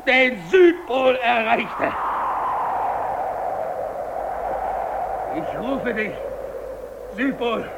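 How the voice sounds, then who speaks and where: A man's voice: extremely high pitch, background noise, full character voice. A male actor playing a lone, dying English polar explorer in a 1950s German radio drama monologue, theatrical, defiant and despairing, moving between muttering and declaiming. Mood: solemn, declaiming.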